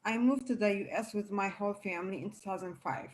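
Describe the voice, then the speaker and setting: Soft-spoken woman from Ethiopia. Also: medium pitch, fast talker, rough recording. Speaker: panelist. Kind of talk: online panel talk, personal story.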